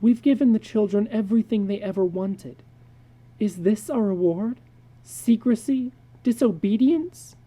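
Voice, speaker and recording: Casting: man playing an anxious mother in a dramatic story, high and mellow, softly worried. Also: unhurried, poor audio. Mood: hurt, indignant.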